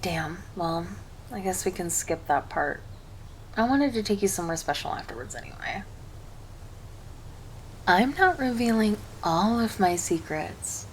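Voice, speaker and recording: A woman's voice: mid-range voice, animated, unhurried, pauses often, slight background noise, close to an everyday voice, poor audio. A woman playing a cheerful, affectionate succubus girlfriend in an ASMR roleplay, doting and teasing as she speaks intimately to her partner. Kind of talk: ASMR roleplay, scripted monologue to the listener.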